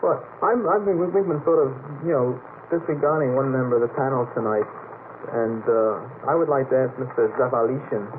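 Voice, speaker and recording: A man's voice: mid-range voice, brisk. A man speaking as a panelist on a late-night radio talk show, discussing and reading items aloud in an unscripted way. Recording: noisy, phone-like old radio broadcast.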